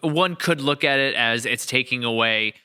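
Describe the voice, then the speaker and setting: A man's voice: mid-range voice, normal speed, bright, forceful tone, smooth. A man hosting a casual podcast interview, talking in a wordy, thoughtful, rambling way. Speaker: podcast host and series writer.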